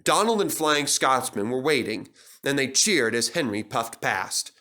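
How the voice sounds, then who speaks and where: A man's voice: mid-range voice, expressive, unhurried, sharp tone. A man narrating a children's story as a scripted reading.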